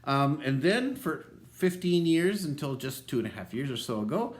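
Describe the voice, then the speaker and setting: A man's voice: on the high side, normal speed. A middle-aged man with a Minnesota accent, an associate pastor giving a casual devotional talk, full of ums and restarts. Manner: relaxed, conversational, many ums and restarts.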